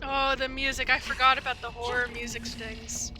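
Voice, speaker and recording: A woman live-streaming a game with casual, excitable, gushing commentary. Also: high voice, moderate pace, poor audio. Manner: excitable, gushing fan talk. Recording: game music behind the voice. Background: video game music.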